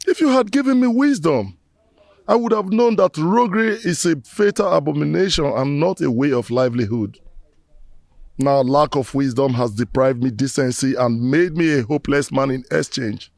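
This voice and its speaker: A man's voice: a little high, moderate pace, vocal fry, a little mellow, no room sound. An actor playing a remorseful middle-aged man who pleads with his ancestors in a formal, proverb-rich lament.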